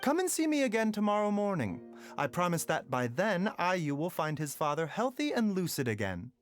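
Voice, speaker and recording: A man voicing a calm, composed male doctor who speaks in a measured, polite, clinical way. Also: high-pitched, soft background music. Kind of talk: game voice acting.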